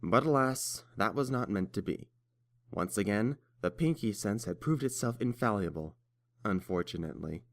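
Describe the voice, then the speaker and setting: Low-pitched male story narrator.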